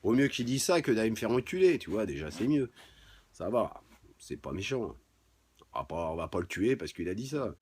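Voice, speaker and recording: A middle-aged man with a husky voice, chatting casually and bluntly on a livestream, with crude swearing. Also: lowish voice, sing-song, normal speed, a little mellow. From France. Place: home garage.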